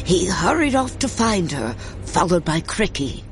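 A woman playing a fond grandmother, narrating a storybook in a measured, expressive way. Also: on the low side, soft background music, poor audio. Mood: fond, dramatic.

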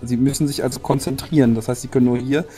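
A man's voice: mid-range voice, fairly even intonation, fast, over music. A man, a player in a tabletop strategy game, reasoning through tactics and asking rule questions. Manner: analytical, questioning. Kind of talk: strategy discussion.

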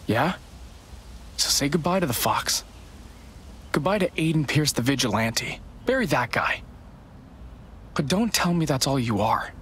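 A man's voice: medium pitch, very animated, measured pace, pauses often, fairly bright tone. A male actor playing an earnest, frustrated young man pleading insistently with family in a video game drama. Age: young adult. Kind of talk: cinematic game drama acting. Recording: faint ambient noise behind the voice.

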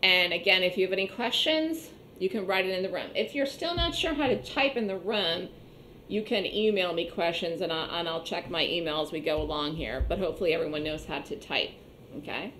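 A woman with a slightly hoarse voice teaching an online trading class, explaining step by step and repeating points conversationally. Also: lowish voice, lively intonation, fairly quick, fairly bright tone, slight background noise, low-quality recording. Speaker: trading instructor. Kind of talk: online trading webinar lecture.

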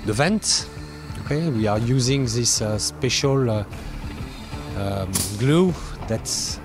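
Guy in his 50s showing folks round a factory floor, kinda halting, bit of music underneath. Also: mid-range voice. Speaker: factory guide. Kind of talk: explanatory factory demonstration. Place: large factory workshop, indoors. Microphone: handheld microphone. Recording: music behind the voice.

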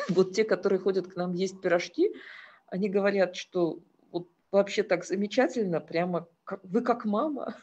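A woman's voice: mid-range voice, darkish tone, husky, muffled recording. A woman giving a lecture with slides, talking earnestly with frequent hesitations and fillers.

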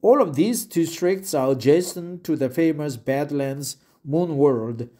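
A man reads aloud at a very slow, halting pace. His voice is fairly high but dark and mellow. He keeps repeating phrases and tripping over hard words. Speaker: English learner reading aloud. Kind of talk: reading a web article aloud with asides.

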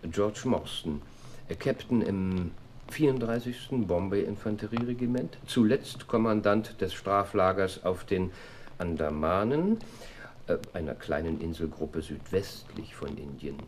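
An actor playing a sharp, brilliant, self-assured detective in a German radio play, speaking precisely and theatrically. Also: male voice, low-pitched, lively intonation, a little slow, a little hiss or hum. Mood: brisk, precise.

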